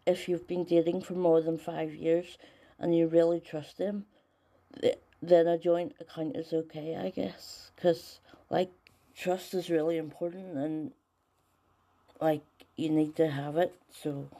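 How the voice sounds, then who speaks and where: An Irish woman speaks in a voicemail, her voice low and very dark in tone. She hedges her opinion, leaning on casual fillers as she goes. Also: steady tone, unhurried. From Ireland. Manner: hesitant, loose with fillers. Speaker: voicemail caller. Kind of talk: short recorded voicemail opinion.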